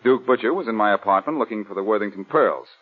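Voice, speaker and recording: A wry amateur sleuth from a 1940s radio mystery, low and husky, with teasing, self-assured delivery that swings around in pitch. It sounds like a muffled vintage broadcast. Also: male voice, animated, quick pace. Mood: confident explaining. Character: Blackie, cool wisecracking amateur sleuth, adult man. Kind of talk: 1940s radio detective drama acting. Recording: muffled old-time radio recording.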